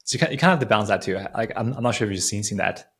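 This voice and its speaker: Podcast host guy, lowish voice, talks quick, super informal, stumbles over his questions a bit. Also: animated, fairly bright tone. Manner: informal, halting, repeats words mid-question. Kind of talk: casual chat interview.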